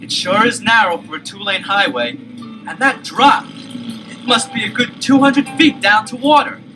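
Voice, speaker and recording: Excitable, high-voiced teenage boy detective. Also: moderate pace, music in the background, rough recording. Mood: chatty. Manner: earnest, expressive, questioning. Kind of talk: 1970s children's audio adventure acting.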